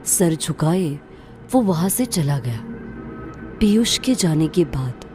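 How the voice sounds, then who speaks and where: A woman narrating a story in a measured, unhurried way. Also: sits low, sing-song, music in the background.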